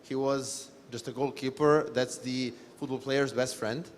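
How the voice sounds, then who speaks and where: A man's voice: medium pitch, a little slow, a little hiss or hum. Man telling a story. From Serbia. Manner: relaxed storytelling. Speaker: panelist. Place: conference stage. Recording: reverberant conference hall.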